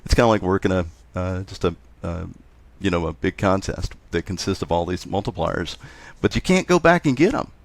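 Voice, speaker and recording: A man chatting casually as an interview guest, halting often and repeating words as he goes. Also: low voice, lively intonation, vocal fry, dry recording. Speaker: interview guest, contest organizer.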